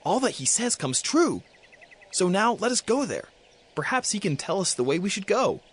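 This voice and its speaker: An actor playing an eager, earnest male servant with a husky voice in a dramatized scripture. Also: high-pitched, big pitch swings, moderate pace, exaggerated voice.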